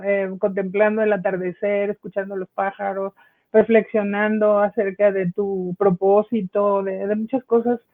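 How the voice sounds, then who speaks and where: A man, a podcast guest, reflecting at length in long, winding sentences. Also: sits high. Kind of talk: casual conversation. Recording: tinny phone-like call audio.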